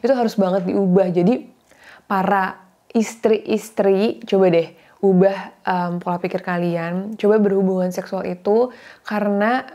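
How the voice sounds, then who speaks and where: Earnest woman in her thirties, mellow voice. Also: medium pitch, steady tone, measured pace, lo-fi sound. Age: 30s. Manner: earnest and confiding, with casual slang and English words mixed in. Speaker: vlogger sharing faith and marriage advice. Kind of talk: casual heartfelt talk to viewers.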